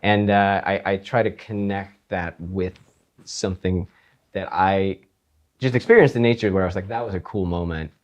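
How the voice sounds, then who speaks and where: A laid-back man who grew up in Iceland talks through his creative process in a casual interview. His voice sits on the low side, and he rambles loosely with fillers and easy laughter. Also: normal speed, lo-fi sound. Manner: relaxed, rambling, laughs often, many fillers. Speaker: guitarist and composer guest.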